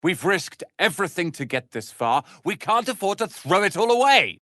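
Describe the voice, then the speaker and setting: An actor playing a proud, irritable young male wizard apprentice in a fantasy video game, haughty, theatrical and sharp-tongued. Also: high voice, big pitch swings, moderate pace, no echo, clean studio sound, heavily acted. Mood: tense, earnest.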